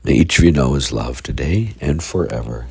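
A man's voice: expressive, normal speed. A man leading scripture readings and a short homily as a priest, sounding stuffy from a sinus infection. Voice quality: gravelly, breathy low voice.

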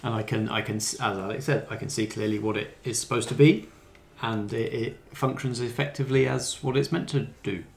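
A man's voice: mid-range voice, steady tone, a little fast, creaky, a little hiss or hum. A man co-hosting a podcast, giving his opinions in casual conversation. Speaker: podcast co-host and judge. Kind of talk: casual review chat.

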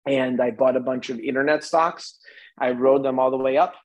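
A man's voice: medium pitch, fairly even intonation, moderate pace, poor audio. Man in his forties, American, podcast guest, casual chat, rambling with fillers and restarts. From United States. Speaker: interview guest.

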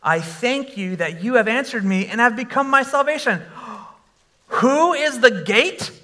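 A man preaching a sermon to a congregation, conversational with fillers, and breaking into animated shouts when he exclaims. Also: very high voice, unhurried. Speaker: pastor.

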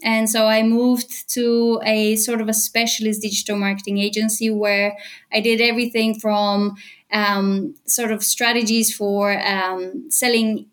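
A woman in her thirties, originally from Bulgaria, chatting lively as a podcast guest and laughing often. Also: a little high, a little slow. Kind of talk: casual interview chat.

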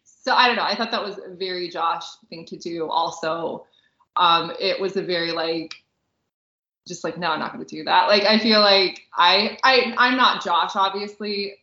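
A woman's voice: lowish voice, normal speed, bright, forceful tone. A woman co-hosting a podcast in casual chat, gushing informally about what she enjoys. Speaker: podcast co-host and film critic. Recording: roomy, echoey, slightly dull audio.

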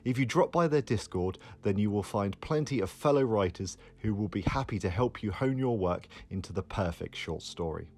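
A man with a husky voice giving prepared advice as a fiction writer. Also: on the low side, expressive, moderate pace, hardly any pauses.

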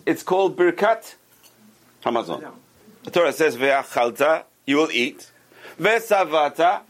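A man teaching an informal religious class to a group around a table, explaining step by step, repeating key points and asking the students questions. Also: high voice. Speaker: Torah teacher.

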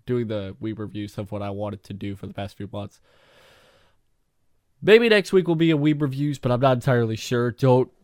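A man's voice: medium pitch, no echo. Young male podcast host, loose rambly delivery full of fillers, voice fading from a cold, dry close sound. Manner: rambling, heavy use of filler "like". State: losing his voice, getting sick. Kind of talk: solo casual podcast monologue.

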